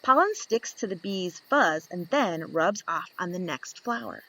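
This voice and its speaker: A woman reads a children's picture book aloud, taking her time over each page. Her voice swoops up and down with lively expression. She plays with the story and keeps asking her young listeners questions. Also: on the low side, a little slow, rough recording.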